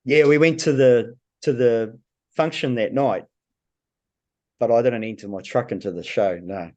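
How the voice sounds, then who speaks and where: A man's voice: medium pitch, measured pace, darkish tone, lo-fi sound. A middle-aged man with a New Zealand accent speaks as a guest in a casual interview. His voice sits in the ordinary middle range for a man, and he keeps his answers short and laid-back with a dry sense of humour. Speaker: guest log truck driver.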